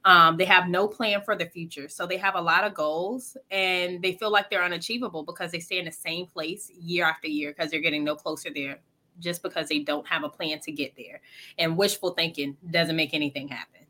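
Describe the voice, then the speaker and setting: A woman's voice: fairly low pitch, fairly even intonation, brisk, sharp tone. A woman, a financial coach, answering interview questions earnestly and explaining things plainly, with frequent ums and likes.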